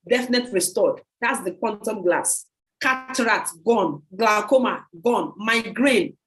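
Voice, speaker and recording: A woman hosting a video call meeting, giving an emphatic, repetitive sales pitch and taking questions. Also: low-quality recording. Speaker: host of a product sales meeting. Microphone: computer mic on a video call.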